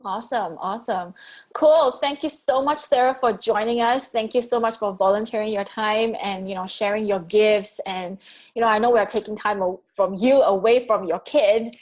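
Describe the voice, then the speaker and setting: A woman's voice: medium pitch, normal speed, a little mellow. A woman in her thirties from Singapore with a Singaporean English accent, hosting an interview in an enthusiastic, chatty way with frequent laughs. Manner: enthusiastic, laughs often, restarts phrases. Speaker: host. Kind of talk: interview chat.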